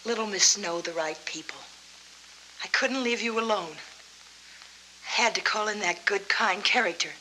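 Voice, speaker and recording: An actress in a 1940s film noir, playing a loyal, self-blaming young singer with a bitter, wry way of talking. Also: female voice, medium pitch, moderate pace, pauses often, bright, forceful tone, close to an everyday voice, poor audio. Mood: bitter, guilty. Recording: faintly hissy 1940s film soundtrack.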